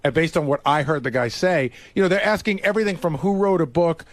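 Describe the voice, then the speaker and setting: A man's voice: fairly high pitch, fast, no room sound. A man who is a regular on a radio show, talking casually and arguing his points assertively. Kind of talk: casual studio discussion.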